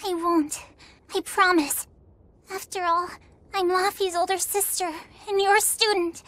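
A woman's voice: extremely high pitch, fairly even intonation, measured pace, fairly bright tone. An actress playing a frightened, trusting little girl in a game, timid, tearful and earnest. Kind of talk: dramatic video game acting.

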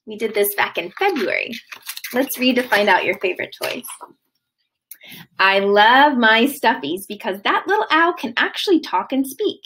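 A woman in her thirties, a kindergarten teacher, reading a children's book aloud with expressive, sing-song delivery. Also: fairly high pitch, normal speed, breathy edge, rough recording. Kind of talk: read-aloud of a children's book to young kids. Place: living room at home, indoors.

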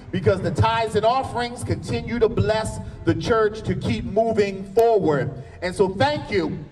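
A middle-aged pastor leading prayer and church announcements at an outdoor service, speaking with a measured, fervent cadence into a handheld mic. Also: male voice, high voice. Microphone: handheld mic at a podium, filmed by a far camera. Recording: distant outdoor mic with faint ambience.

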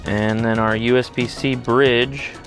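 A man's voice: mid-range voice, flat delivery, measured pace, over music. An American man in his forties hosting a hands-on tech video in a conversational, enthusiastic, instructional way. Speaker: host of a ham radio video channel. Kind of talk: casual instructional product demo to camera. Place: indoor home studio and workbench.

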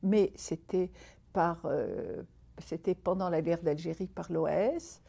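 Measured Algerian-born woman writer, mellow voice. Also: fairly low pitch, steady tone, vocal fry, husky. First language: Arabic. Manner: thoughtful, measured, many pauses and restarts. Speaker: writer interviewed. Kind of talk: reflective interview talk. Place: indoor room by a window. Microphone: unseen, seated interview framing.

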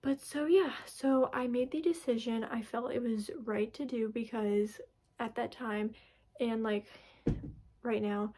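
A young woman chatting casually to camera in her bedroom, her voice a little raspy from being sick, rambling with many fillers and restarts. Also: fairly high pitch, steady tone, unhurried, dark tone. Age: young adult. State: raspy from recent illness. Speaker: vlogger. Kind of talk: casual personal vlog. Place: bedroom, indoors. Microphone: camera in front at close range.

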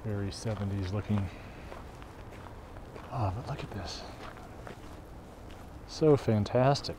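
A man's voice: fairly low pitch, takes it slowly. A man narrating casually while walking outdoors across a snowy campus, musing aloud and unsure of building names. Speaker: walking tour guide. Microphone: camera carried while walking. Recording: outdoor wind and traffic noise. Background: wind and street noise.

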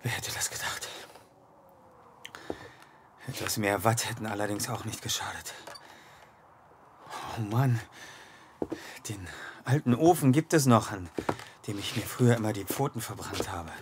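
An actor playing an uneasy, dog-tired, grumbling man in an audio thriller, speaking in a hushed, halting, breathy way with wry asides. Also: on the low side, expressive, background noise. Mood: weary, grumbling.

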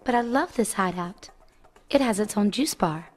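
A woman's voice: medium pitch, animated, moderate pace, close to an everyday voice. A woman playing a sassy, vain glamour-girl heroine in a video game, flippant and playful. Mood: pouty. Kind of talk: video game cutscene acting.

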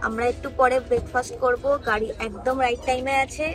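A woman's voice: a little high, flat delivery, a little fast, music in the background. A woman in her thirties, a travel vlogger, giving a chatty running commentary on her trip into a phone held at arm's length. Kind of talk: casual travel vlog narration. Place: train compartment and busy station outdoors.

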